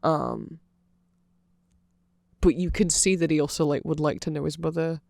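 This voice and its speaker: Guy doing a nerdy vampire asmr ramble, bit high voice, super dry close sound, lots of ums. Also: little pitch movement, moderate pace, vocal fry, a little mellow, no room sound. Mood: eager, chatty. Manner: rambling, filler-heavy, earnest fannish enthusiasm. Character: nerdy rambling male vampire explaining band lore.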